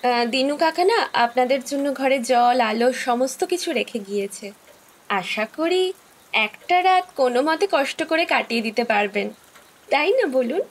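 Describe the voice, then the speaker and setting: A mysterious young village woman from an audio ghost drama. Her voice is high, and she speaks in hesitant little phrases with an odd intensity. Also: animated, measured pace. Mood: polite, friendly. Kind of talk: Bengali audio horror story acting.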